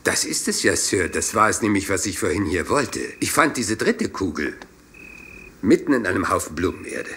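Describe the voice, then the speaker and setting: An actor in a radio play playing a rumpled, courteous police inspector who apologizes and meanders doggedly. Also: male voice, sits low, sing-song, moderate pace, a bit bright, slight background noise. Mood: insistent.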